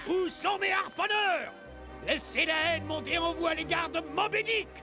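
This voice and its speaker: An actor playing a fanatical old whaling captain in a French children's cartoon, gravelly and barking orders. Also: male voice, very high voice, moderate pace. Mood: frenzied, hateful. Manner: commanding, bellowing orders. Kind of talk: children's cartoon dub acting. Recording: loud music under the voice.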